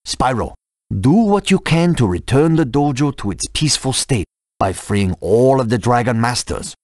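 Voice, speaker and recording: A man's voice: medium pitch, animated, normal speed, a little mellow, no echo, clean studio sound, lightly acted. A man voicing a kindly, dignified elder dragon master in a video game, formal and courteous. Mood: grateful, earnest. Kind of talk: video game character dialogue.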